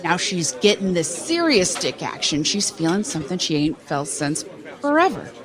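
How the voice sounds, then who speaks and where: A woman's voice: lowish voice, sing-song, normal speed. Woman playing a brash, swooping crime boss. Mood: crude, animated. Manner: crude, profane, bossy. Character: foul-mouthed brash crime boss holding forth at breakfast. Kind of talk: audio drama dialogue. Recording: chatter of a crowd behind.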